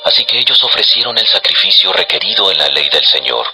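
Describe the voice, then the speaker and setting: A man narrating scripture as a solemn, measured storyteller for a dramatized audio production. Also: deep voice, animated, sharp tone, faint music behind, poor audio.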